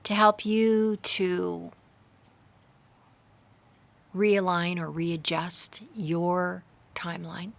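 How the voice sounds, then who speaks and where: A woman leading a slow guided meditation in a measured, hushed voice that often softens into breathiness, recorded outdoors. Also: lowish voice, level tone, gravelly. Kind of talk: slow, soothing guided meditation with hypnotic induction. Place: outdoors in a garden. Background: faint outdoor garden ambience.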